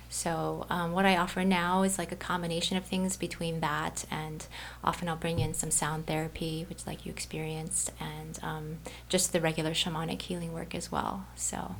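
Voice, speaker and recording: A woman with a lowish, gravelly voice talks in an easygoing way as a guest, soft-spoken and full of fillers. She is from Brazil and works as a healer. There is a faint hiss under her voice. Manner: soft-spoken, laid-back, many fillers. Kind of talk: casual interview chat.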